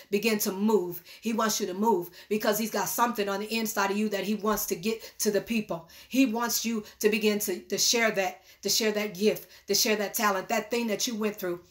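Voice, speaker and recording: A woman's voice: medium pitch, a bit bright. Woman, middle-aged, prophetic preacher, earnest and repetitive, phone camera, small room. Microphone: phone camera at arm's length.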